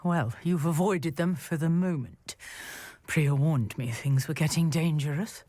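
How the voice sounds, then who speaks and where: An actress playing a confident female historian and archaeologist in a video game, speaking crisply and wryly. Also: deep voice, a little slow, dark tone, no echo.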